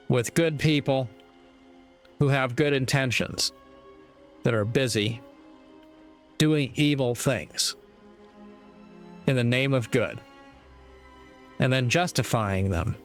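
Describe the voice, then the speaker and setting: A man delivering a reflective motivational monologue in a measured, earnest way, with deliberate pauses. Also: mid-range voice. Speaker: speaker giving moral reflections.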